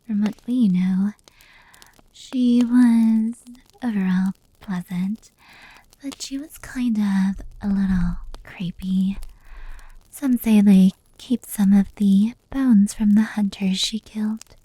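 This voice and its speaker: A woman playing a gentle fox-demon yokai in an ASMR roleplay, telling stories slowly and intimately in a soft, breathy whisper. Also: mid-range voice, no room sound, low-quality recording. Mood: hushed storytelling. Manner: slow, intimate, unhurried.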